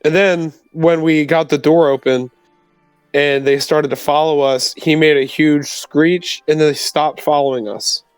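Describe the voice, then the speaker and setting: A man playing a tabletop roleplaying game, speaking casually in character and rambling haltingly. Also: on the high side, steady tone, smooth, no echo, faint music behind.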